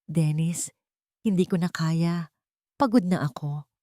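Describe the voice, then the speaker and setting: A woman's voice: on the low side, slow pace, mellow, no room sound, clean studio sound. A woman with a Filipino accent voicing a heartbroken, plaintive betrayed wife in an audiobook drama. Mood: weary, sorrowful. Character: weary, heartbroken betrayed wife, loving and desperate.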